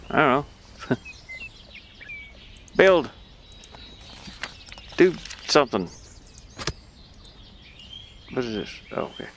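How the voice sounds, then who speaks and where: A man's voice: fairly high pitch, creaky, slight background noise. A man giving casual gameplay commentary, puzzled and muttering to himself as he thinks aloud. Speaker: gamer playing and commenting on a game.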